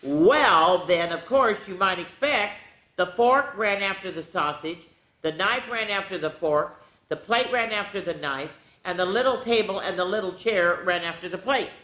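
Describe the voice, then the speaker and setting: A woman hosting a children's story time, reading a storybook aloud in an animated, playful way with dramatic asides to the young listeners. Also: on the low side, normal speed. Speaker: children's storyteller, show host. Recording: roomy, echoing indoor sound.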